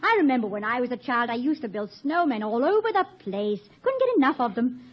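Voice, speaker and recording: Husky, coaxing wife. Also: female voice, a little high, sing-song, brisk. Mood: coaxing, light. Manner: gentle, coaxing, tactful. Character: devoted, reassuring wife of a college president. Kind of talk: 1950 radio comedy acting. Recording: muffled old radio recording.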